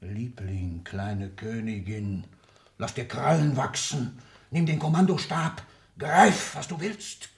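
A man's voice: medium pitch, takes it slowly, creaky. An older man playing an ailing, domineering old industrialist in a 1960s German radio drama, commanding and declamatory with clipped, emphatic phrases. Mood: fervent, urging. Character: ailing, domineering old industrialist patriarch, irascible, power-hungry.

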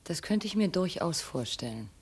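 An actress playing a shrewd young slave posing as a princess, arch, teasing and commanding, in 1950s radio play style. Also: female voice, fairly low pitch, sing-song, moderate pace, darkish tone, breathy edge, heavily acted. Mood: guarded.

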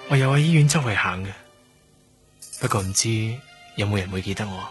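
An actor with a Hong Kong accent playing a lonely, reserved young trainee doctor, polite and guarded, in a radio drama. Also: male voice, on the low side, expressive, a little fast, lightly acted. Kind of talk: Cantonese radio drama acting. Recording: prominent music behind the voice.

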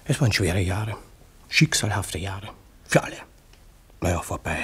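An actor playing a pompous, sanctimonious busybody in a radio play, unctuous and declamatory. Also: male voice, deep voice, lively intonation, moderate pace, a bit bright, husky, exaggerated voice. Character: pompous, sanctimonious petty-bourgeois eavesdropper, meddling apologist. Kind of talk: German radio play acting.

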